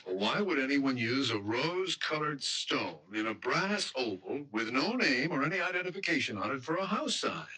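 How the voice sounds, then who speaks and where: An actor playing a protective, teasing young fiancé with a wry, emphatic delivery in a 1970s style radio drama. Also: male voice, medium pitch, very animated, moderate pace, hardly any pauses, pushed and bright, slightly rough, full character voice. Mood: wry, skeptical. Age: young adult.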